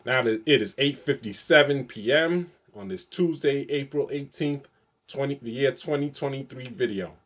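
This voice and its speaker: A man's voice: mid-range voice, moderate pace. A man, vlogging casually to camera from his bedroom, repeating and circling back over the same phrases. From New York City. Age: 40s. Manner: repetitive, rambling, loops over the same phrases. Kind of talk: casual solo vlog to camera. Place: small bedroom, indoors.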